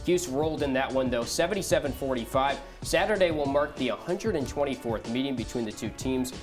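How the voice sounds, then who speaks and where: A man's voice: medium pitch, lively intonation, brisk, soft background music. A man hosting a sports broadcast and commentating on games as a play-by-play announcer.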